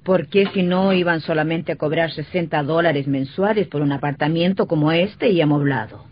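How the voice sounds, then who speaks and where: A woman's voice: deep voice, moderate pace, lightly acted. A woman playing a suspicious, matter-of-fact dinner guest in a vintage radio drama. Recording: dull, noisy old radio recording.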